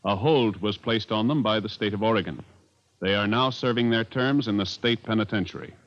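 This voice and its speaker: Low-pitched formal male radio announcer. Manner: formal, measured. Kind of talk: 1950s radio scripted narration. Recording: dull old radio recording with music.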